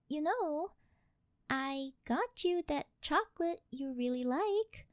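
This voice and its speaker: Woman acting a high-pitched, coy, doting yandere girlfriend. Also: darkish tone, no room sound, studio quality. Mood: doting, coaxing. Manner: coy, cutesy, teasing, sweet-talking. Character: rich, possessive, doting older yandere girlfriend. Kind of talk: ASMR girlfriend roleplay.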